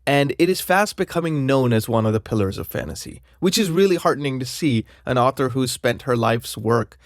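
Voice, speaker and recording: A man's voice: mid-range voice, no room sound, clean studio sound. A man hosting a book channel, chatting casually and rambling with lots of filler words. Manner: rambling, many "kind of" fillers. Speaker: booktube channel host. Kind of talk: casual chat to camera.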